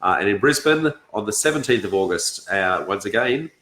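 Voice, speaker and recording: An Australian man hosting a casual livestream, halting as he searches for words, with a raspy, congested voice from being ill. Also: fairly low pitch, expressive, pushed and bright. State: congested from illness, blocked nose. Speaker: host of a property education session. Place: indoors on a couch, echoey room. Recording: roomy, echoing sound.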